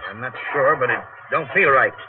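An actor in a 1940s radio drama playing a cautious, middle-aged veteran tunnel digger and father, gruff and blunt, talking in rough working-class slang. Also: medium pitch, brisk, close to an everyday voice. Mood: wary. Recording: muffled, noisy 1940s radio broadcast.

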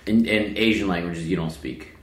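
A man hosting a casual conversation, asking relaxed, curious, halting questions. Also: low voice. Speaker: host. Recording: roomy, faint ambience.

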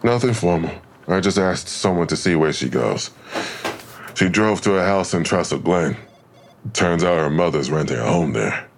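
Police detective, very deep pitch, gravelly fry, suspicious, dry, naturalistic drama. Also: male voice, expressive, normal speed, slight background noise. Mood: dry, insistent. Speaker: actor in a fiction podcast. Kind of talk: naturalistic audio drama acting.

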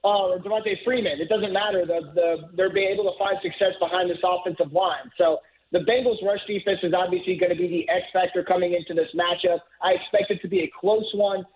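Guest football writer, man, high voice, tinny phone line, fluent analytic talk. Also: steady tone, a little fast. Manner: fluent, analytical, repeats words when starting. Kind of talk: phone-in radio interview.

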